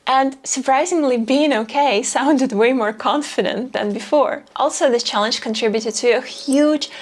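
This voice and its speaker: A woman with a soft, quiet voice speaks at a slightly higher pitch as she vlogs to camera. She talks candidly and chattily and pokes a little fun at herself. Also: normal speed. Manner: candid, self-deprecating, chatty. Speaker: vlogger. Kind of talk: reflective vlog talk to camera.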